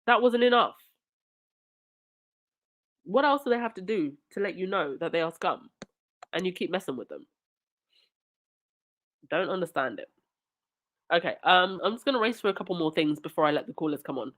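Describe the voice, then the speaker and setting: A woman's voice: on the low side, expressive, moderate pace, pauses often, fairly bright tone. A woman talks on her live stream while fighting a cold, her nose stuffy. She reads comments and thanks supporters, then turns indignant. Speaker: livestream host.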